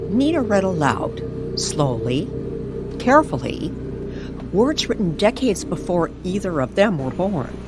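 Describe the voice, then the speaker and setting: A woman delivers dramatic, old-time radio narration in a lower register. Her pace is slow and deliberate and her pitch swings widely for suspense, with music clearly playing behind her. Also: very animated. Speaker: storyteller.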